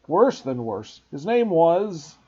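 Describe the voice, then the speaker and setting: A man voicing a huge, rough-spoken, kindly giant with a loud, gruff, folksy way of talking. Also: a little high, very animated, takes it slowly, voice pushed up, full character voice. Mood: worried. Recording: dull lo-fi recording.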